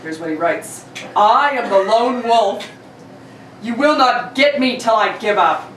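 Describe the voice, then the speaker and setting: A woman playing a blustering, excitable police chief in an old-time radio play reading, theatrical and self-important. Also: fairly high pitch, a little slow. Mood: grave, indignant. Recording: echoey live room, distant mic, faint noise.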